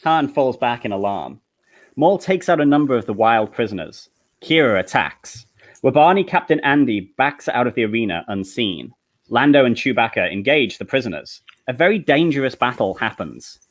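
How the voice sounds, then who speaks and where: Lively man narrating a comedy table read. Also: mid-range voice, normal speed. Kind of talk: reading screenplay stage directions for comedy.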